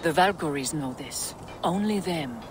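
An older woman playing a gentle, resigned old seeress in a video game, with a soothing, measured delivery. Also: deep voice, expressive, noisy background. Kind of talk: dramatic video game acting.

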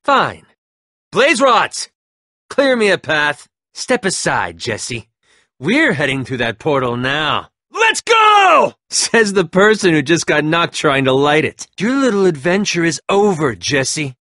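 A sneering young rival gang leader. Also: male voice, fairly high pitch, huge pitch swings, takes it slowly, pushed and bright, no echo, studio quality, full character voice. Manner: sneering, taunting, mocking laughs. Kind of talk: video game voice acting.

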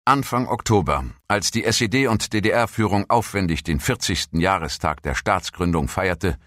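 A man reading a scripted documentary narration in a measured, formal manner. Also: sits low, fairly bright tone, dry recording, studio quality.

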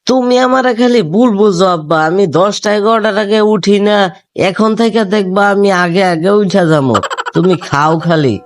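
Male actor as lovesick young layabout, high voice, whiny, exclaiming, Bangladeshi accent, comedy cartoon. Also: normal speed, lightly acted. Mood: falsely sweet. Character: hapless, scheming, lovesick young layabout son. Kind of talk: comic Bangla cartoon dub.

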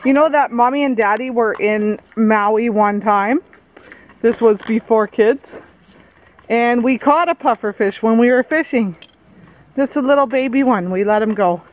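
A woman's voice: on the high side, measured pace, lo-fi sound. A woman, a mother chatting casually and colloquially as she films a family vlog. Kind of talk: casual chat while filming. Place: outdoors on forest trail and beach. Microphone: handheld phone or camera mic. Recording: outdoor noise on handheld camera.

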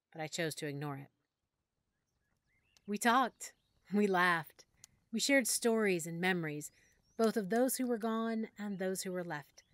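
A woman narrating a first-person audiobook story in a measured, emotional way that sometimes drops to a hush. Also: on the low side, dry recording, studio quality. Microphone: close studio mic.

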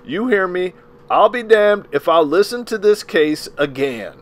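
A man voicing a gruff, short-tempered older judge who roars sternly at the courtroom. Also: high voice, lively intonation, unhurried, voice pushed up. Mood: roaring. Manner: roaring, stern.

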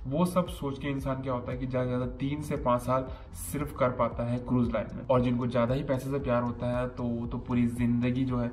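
A man's voice: medium pitch, level tone, fast, few pauses. A man in his thirties with a cold, giving chef career advice in a casual vlog, seated in a small indoor room. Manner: earnest, explains step by step, mixes in English phrases. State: has a cold. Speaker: chef vlogger.

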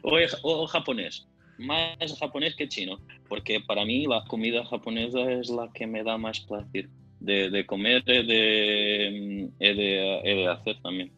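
A man with a Portuguese accent, a native Portuguese speaker, talks casually and gropes for words, often repeating himself. His voice is forceful and bright, on a dull lo-fi recording with music behind it. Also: lowish voice. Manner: halting, searches for words, repeats phrases. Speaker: podcast guest. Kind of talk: casual conversation.

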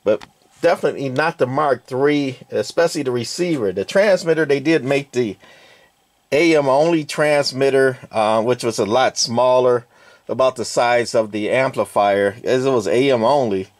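Man, casual workshop walkthrough, rambling with fillers, middle pitch, dry close sound. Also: normal speed, no room sound. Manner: rambling, many fillers and hedges. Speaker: radio collector. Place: cluttered workshop with shelving.

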